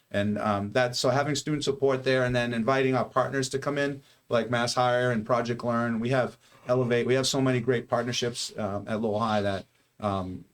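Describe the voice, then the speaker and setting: A school head speaking casually and animatedly, with rhetorical questions and frequent restarts. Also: male voice, mid-range voice.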